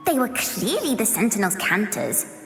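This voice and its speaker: A woman voicing a gentle, wistful young woman in a video game, with a lyrical and deliberate delivery. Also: mid-range voice, sing-song. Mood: puzzled, wistful. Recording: long reverb, music behind.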